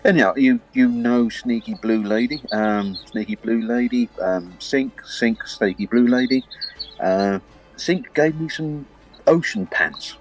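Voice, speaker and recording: A man's voice: mid-range voice, a little slow, soft background music, close to an everyday voice, low-quality recording. A man voicing a naive, curious male adventurer who is easily amazed. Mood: plotting.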